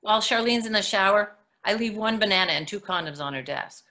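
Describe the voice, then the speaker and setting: Woman playing a bright-voiced, blunt mother. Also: mid-range voice, expressive, brisk. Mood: matter-of-fact. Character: practical, blunt mother of two daughters. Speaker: actor in a readers theatre. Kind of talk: comic monologue reading.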